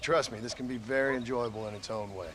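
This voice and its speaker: An actor playing a gruff, protective American frontier father in a video game, with a dry, folksy western drawl. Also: medium pitch, fairly even intonation, quick pace, background noise, lightly acted. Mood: dry, patient. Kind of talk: cinematic video game acting.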